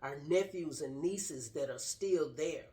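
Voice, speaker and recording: A woman's voice: low voice, a little slow, dark tone. A middle-aged woman sharing her personal story casually in an earnest, straightforward way. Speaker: guest telling personal story, wife of the host.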